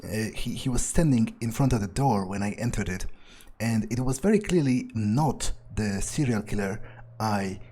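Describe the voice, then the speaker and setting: A man's voice: lowish voice, expressive, normal speed, dark tone. A man doing an in-character game playthrough as a self-assured, scornful vampire, thinking aloud in a hesitant, musing way. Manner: hesitant musing with muttered asides. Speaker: gameplay video player. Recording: noticeable background noise.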